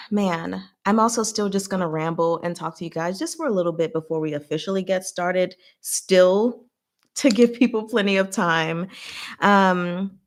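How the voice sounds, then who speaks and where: Female livestream host, medium pitch, informal and rambling, giggly, reacts with animation. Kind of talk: rambling casual livestream chat.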